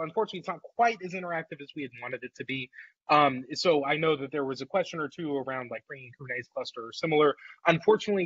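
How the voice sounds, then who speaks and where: A man in his thirties, congested and a little husky, leads a technical webinar in a loose, conversational way, full of "uh" and restarts. The sound is dry and muffled, like a video call. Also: a little high, a bit bright, dry recording. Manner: casual and conversational, with frequent fillers and repeated words. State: congested from a recent illness. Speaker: workshop presenter. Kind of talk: live webinar presentation.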